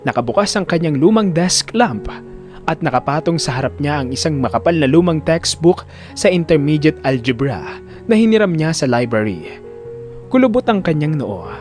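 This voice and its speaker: A man's voice: a little high, a little mellow. A man narrating a scripted audio drama in a dramatic storytelling style.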